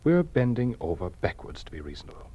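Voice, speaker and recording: Low, husky party official. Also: male voice, big pitch swings, fairly quick, warm tone, highs cut off. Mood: placating, stern. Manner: condescending, bureaucratic politeness. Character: middle-aged male party official, officious, falsely reasonable interrogator. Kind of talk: old-time radio drama acting. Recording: vintage radio drama recording.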